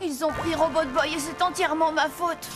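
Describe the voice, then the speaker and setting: A woman's voice: high voice, fairly even intonation, fairly quick, loud music behind the voice, close to an everyday voice. A woman voicing a young schoolboy hero in a French cartoon dub, earnest, anxious and a bit cheeky. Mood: worried, earnest.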